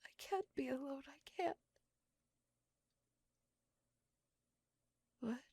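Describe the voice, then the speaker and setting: A woman voicing a lovesick, possessive vampire in an intimate, dramatic ASMR roleplay, breathy and mostly whispered. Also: high-pitched, warm tone, no room sound, clean studio sound. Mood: desperate pleading. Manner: intimate, drawn-out, dramatic delivery. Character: lovesick, possessive female vampire lover, melancholy and seductive.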